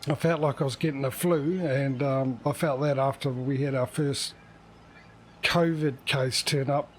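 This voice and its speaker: A man's voice: medium pitch, slight background noise. A man chatting casually as a livestream host, rambling and hesitant, often correcting himself as he goes.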